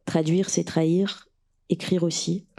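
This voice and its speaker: A woman author from Russia, whose first language is Russian, reads her own prose in a measured way and then answers questions hesitantly, searching for words. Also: lowish voice, little pitch movement, gravelly, warm tone.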